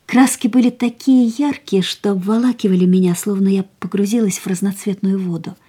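An actress in a radio play playing an ailing, dreamy young woman who is hungry for life, speaking softly and breathily in lyrical phrases. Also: medium pitch, steady tone, brisk, close to an everyday voice. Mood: rapt, dreamy. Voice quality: soft, breathy. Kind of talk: literary radio drama acting, lyrical monologue and dialogue.

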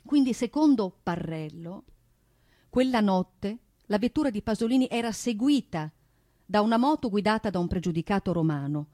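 Female narrator for radio theatre: theatrical, emphatic, rhetorical, medium pitch, very dry recording. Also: expressive, moderate pace, warm tone, no room sound. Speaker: stage narrator and singer. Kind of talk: dramatic theatrical monologue. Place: radio studio.

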